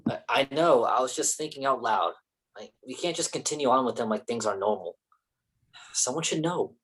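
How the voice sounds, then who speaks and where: A man's voice: medium pitch, animated, normal speed, a bit bright, husky, poor audio. A man acting as an awkward, protective young actor friend in a staged play reading, hesitant and defensive. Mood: insistent.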